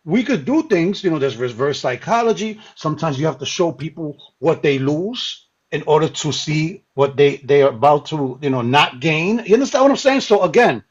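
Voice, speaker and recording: A man with a high, husky voice talks emphatically in a group discussion. He repeats his points and often checks that listeners follow. The audio is dull and lo-fi. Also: expressive, moderate pace, hardly any pauses.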